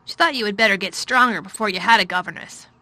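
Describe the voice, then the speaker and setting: A woman voicing a troubled, fretful middle-aged gentleman in a storybook reading; loud, weary and distracted. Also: mid-range voice, quick pace, no echo, close to an everyday voice.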